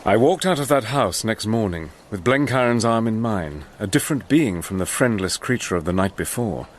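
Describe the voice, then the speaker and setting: An actor playing a resourceful officer who also narrates, brisk and clipped, in a radio drama. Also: male voice, fairly low pitch, animated, a little hiss or hum, natural voice. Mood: narrating.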